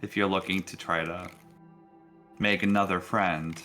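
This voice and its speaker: A man's voice: low-pitched, steady tone, unhurried, pushed and bright, faint music behind. A man running a tabletop roleplaying game as game master, narrating casually in a jokey way.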